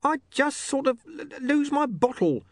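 A man's voice: very high-pitched, very animated, fairly quick, no echo, studio quality, pitched above the performer's own voice. A man voicing a cowardly, reluctant fellow who is nervous, stammering and whining. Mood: frightened. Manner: stammering, wailing.

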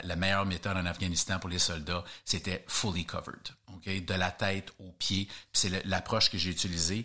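A man with a Québécois French accent, chatting as an interview guest and telling a story, animated, often repeating words as he searches for them. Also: low voice. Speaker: guest hiker.

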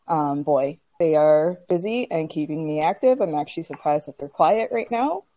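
A woman guest in a relaxed podcast conversation. Her voice sits low and has a mellow, warm tone. She grew up in Canada after being born in Kenya and talks in an informal, conversational way. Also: normal speed, lo-fi sound. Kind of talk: casual conversational interview.

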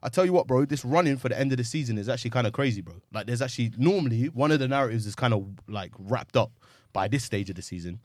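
A man hosting a casual football podcast, slangy and animated, calling everyone bro. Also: mid-range voice, fast, no echo, clean studio sound. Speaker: podcast host.

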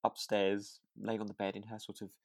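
A man co-hosting a podcast, recounting a drama in casual, halting chat. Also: on the low side, monotone, dry recording. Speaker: podcast co-host.

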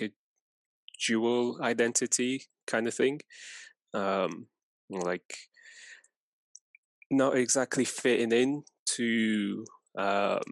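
A man from Afghanistan whose first language is Farsi, speaking hesitantly in a reflective podcast conversation, full of pauses and fillers. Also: fairly low pitch, no echo. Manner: hesitant, many fillers, soft-spoken. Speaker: podcast co-host.

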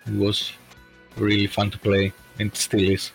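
A man from Greece chatting as a podcast guest, speaking slowly and hesitantly with lots of fillers. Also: fairly low pitch, completely flat delivery, vocal fry, slightly rough, faint music behind. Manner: slow and hesitant, many fillers. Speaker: podcast guest, bot creator. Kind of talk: casual chat.

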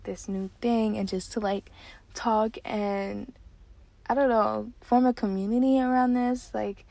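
A nineteen-year-old woman hosting her own podcast, chatting casually and excitedly with lots of fillers from a parked car. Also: mid-range voice, dry recording. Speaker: podcast host. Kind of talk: casual solo podcast chat.

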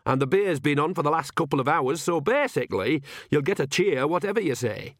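Man doing an earthy old village woman, raised pitch. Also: expressive, a little fast, no room sound, studio quality, lightly acted. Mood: breezy, reassuring. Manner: chatty, matter-of-fact, knowing. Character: earthy, self-assured old village woman, a bossy mum.

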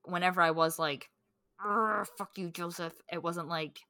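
A woman's voice: fairly low pitch, steady tone, normal speed, bright, forceful tone, no room sound, clean studio sound. A woman co-hosting a podcast in a casual book chat, talking candidly with swearing and frequent self-interruption. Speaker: podcast co-host.

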